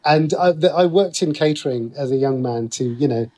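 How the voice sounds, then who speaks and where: A man's voice: on the high side, moderate pace, mellow. A middle-aged man speaking casually as an interview guest, explaining things in a chatty, rambling way. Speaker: writer and radio drama creator, interview guest.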